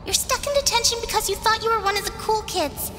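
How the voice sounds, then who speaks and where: A very high little-girl voice rings through a big hall over clear music. She is bratty and scornful, teasing in childish, mocking name-calls, as a radio play character. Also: quick pace. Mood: scornful, hurt. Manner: mocking, sing-song childish name-calling. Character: taunting little schoolgirl, bratty and scornful, on a stage. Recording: long hall reverb, music behind.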